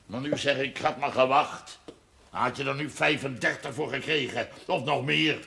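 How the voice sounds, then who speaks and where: A man's voice: mid-range voice, moderate pace, creaky, sharp tone. An actor playing an angry, sarcastic, proud landowning farmer and father in a television period drama. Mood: bitter, sarcastic. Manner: blustering, bitterly sarcastic. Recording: faint noise, older television soundtrack.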